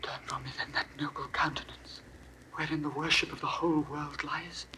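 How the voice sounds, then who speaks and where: A man's voice: a little high, level tone, a little fast, noisy background, lightly acted. An actor playing a loyal, devoted male servant in a 1960s verse drama, pleading and torn, shifting between whispers and outbursts.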